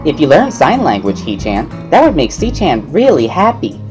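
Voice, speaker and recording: A playful, bubbly teenage girl from a visual novel, acted out by a man. The voice is pitched up high and bounces around in a sing-song way, exclaiming and stretching words, with soft music behind it. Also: huge pitch swings, normal speed. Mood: cheerful, helpful.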